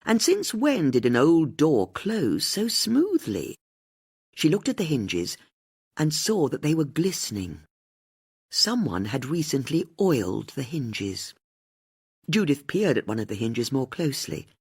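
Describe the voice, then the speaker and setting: A woman with a husky voice, reading a mystery audiobook as scripted narration. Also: low-pitched, very animated, unhurried, darkish tone.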